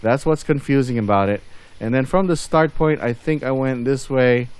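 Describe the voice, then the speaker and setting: A man's voice: mid-range voice, normal speed, a little mellow, clean tone, no room sound. A man doing casual gameplay commentary as host of a gaming video, rambling and thinking aloud as he goes.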